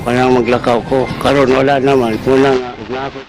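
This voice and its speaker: Halting man in his sixties, radio testimonial. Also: mid-range voice, level tone, music in the background, low-quality recording. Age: 60s. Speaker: customer giving testimonial.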